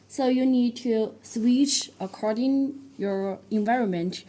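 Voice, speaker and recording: Slow-paced woman giving a product demo. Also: a little high, mellow, low-quality recording. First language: not English. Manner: halting, step-by-step delivery with non-native phrasing. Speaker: product presenter. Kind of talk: product demonstration tutorial.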